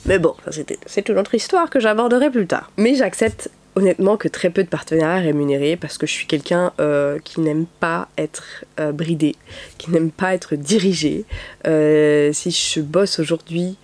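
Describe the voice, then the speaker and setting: A woman's voice: low voice, normal speed, darkish tone. A young woman with a cold, speaking a bit through her nose, chatting to her viewers as a YouTuber with lots of fillers. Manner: chatty, many "euh" fillers. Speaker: YouTuber, content creator. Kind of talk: casual talk to camera. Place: at home, window open, rain outside.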